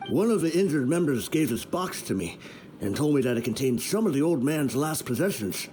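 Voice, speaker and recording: A man's voice: a little high, very animated, a little fast, warm tone, a little hiss or hum. A man playing a weary, honourable mercenary underling in a video game, matter-of-fact in manner. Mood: resigned, frank. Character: plain-spoken, weary but honourable male mercenary underling.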